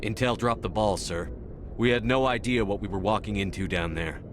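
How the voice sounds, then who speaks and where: An actor playing a stoic, dutiful space marine commander in a video game, with terse, controlled speech. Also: male voice, lowish voice, normal speed, background noise, natural voice. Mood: stoic, firm. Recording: clear music behind.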